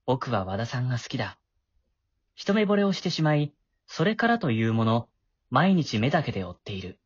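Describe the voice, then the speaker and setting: A man's voice: mid-range voice, big pitch swings, normal speed, breathy edge, dry recording. Guy doing a shy awkward middle school boy w a crush, flustered n stammery, voice jumps around, kinda dull lo-fi audio. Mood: wistful narration. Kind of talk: amateur voice drama acting with narration.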